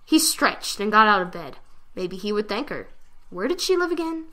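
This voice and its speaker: A woman giving a dramatic reading of a fan fiction story, with expressive delivery. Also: mid-range voice, moderate pace.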